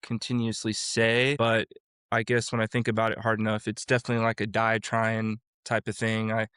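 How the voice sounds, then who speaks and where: Smooth-voiced man telling his story. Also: lowish voice, monotone, fairly quick, fairly bright tone, no echo, studio quality. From Florida, USA. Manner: rambling, with many restarts and fillers. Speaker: vocal coach and music producer guest. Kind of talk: casual interview conversation.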